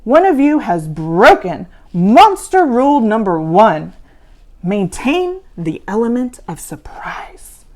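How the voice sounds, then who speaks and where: A woman voicing a stern male monster teacher in a storybook, scolding and instructing with slow, exacting delivery. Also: a little high, sing-song.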